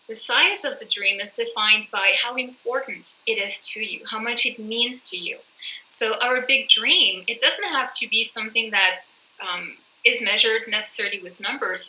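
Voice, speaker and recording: A woman's voice: mid-range voice, sharp tone, reverberant, slight background noise, rough recording. A woman from France, a coach and author talking earnestly and emphatically in an interview. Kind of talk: conversational interview.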